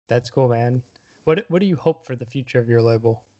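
A man with a mid-pitched voice interviews a guest casually as podcast host. The recording is a little dull and lo-fi. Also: brisk, dark tone. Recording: dull, lo-fi sound.

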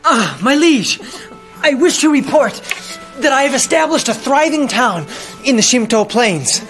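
An actor playing a naive, earnest young knight in a comic video game, formal and boyish. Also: male voice, very high-pitched, animated, a little slow, music in the background. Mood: proud, earnest. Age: young adult.

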